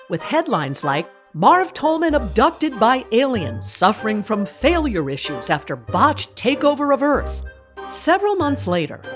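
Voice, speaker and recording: A woman delivering scripted narration for a mock documentary. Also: medium pitch.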